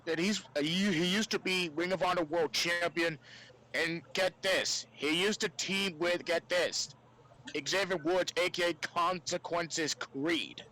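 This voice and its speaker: A man's voice: fairly high pitch, sharp tone, poor audio. A man chatting casually as a fan on a podcast panel, stammering and repeating words as he goes.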